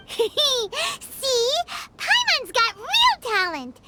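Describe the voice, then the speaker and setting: A woman voicing a tiny, cheerful, boastful fairy companion in a video game, squeaky and childlike. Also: wildly swooping pitch, drawn-out words, heavy background noise, exaggerated voice. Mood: proud, gleeful. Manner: speaks of self in third person. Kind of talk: English dub video game acting. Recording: loud game music behind voice.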